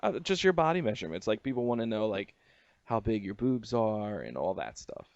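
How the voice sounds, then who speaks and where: A man's voice: on the low side, very animated, brisk, vocal fry. A man hosting a radio interview in a casual, jokey, slangy and crude way. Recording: muffled roomy lo-fi radio interview audio.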